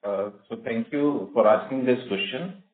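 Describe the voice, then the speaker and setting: A man's voice: mid-range voice, normal speed. A man with an Indian accent, a company executive giving formal, emphatic answers full of figures on an earnings call. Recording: echoey narrowband phone conference line.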